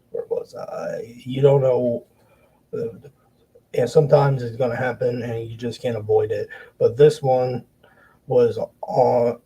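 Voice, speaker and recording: Podcast guy, husky mellow voice, blunt and swears a lot, keeps restarting sentences. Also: medium pitch, rough recording. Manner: blunt, profane, halting restarts. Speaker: wrestling podcast host. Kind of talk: casual commentary.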